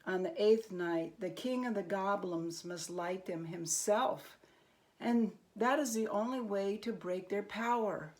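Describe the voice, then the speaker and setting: Woman as a slow, solemn rabbi. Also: medium pitch, lightly acted. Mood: solemn warning. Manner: solemn, measured. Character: grave rabbi giving a solemn warning. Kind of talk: acted children's storybook reading.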